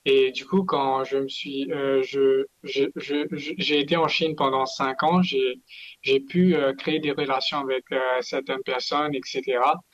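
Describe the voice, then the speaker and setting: A man answering as a guest in an unscripted panel interview, halting and often repeating words. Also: mid-range voice, flat delivery, muffled recording. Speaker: interview guest, entrepreneur in China.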